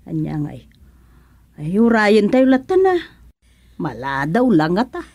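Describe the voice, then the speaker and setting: A woman's voice: medium pitch, huge pitch swings, measured pace, darkish tone, full character voice. An actress playing a hesitant, apologetic village woman in an Ilocano comedy radio drama.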